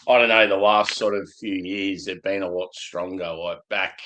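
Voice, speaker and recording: A man talks in a relaxed, blunt way and swears without a second thought. His voice sits on the low side. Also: moderate pace, slight background noise. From Australia. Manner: laid-back, blunt, swears freely. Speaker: beer reviewer. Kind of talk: casual chat.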